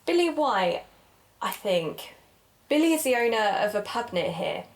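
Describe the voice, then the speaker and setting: A woman's voice: medium pitch, sing-song, a little slow, a little hiss or hum. A woman playing a keen young amateur podcaster in an audio drama, chatty and explanatory in manner. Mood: curious. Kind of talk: naturalistic audio drama dialogue and narration.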